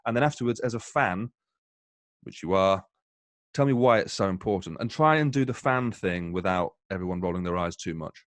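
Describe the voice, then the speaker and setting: A man's voice: low voice, normal speed, no echo. A man hosting a casual football podcast, asking long, jokey questions. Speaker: podcast host.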